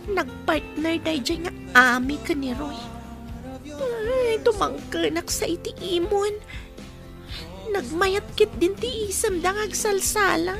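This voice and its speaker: A woman's voice: extremely high pitch, animated, slow pace, gravelly, music in the background, exaggerated voice. An actress with a Filipino accent playing a whiny, envious schoolgirl in a radio drama. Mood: envious, bitter. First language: Ilocano. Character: whiny, envious schoolgirl who shuns studying and chores.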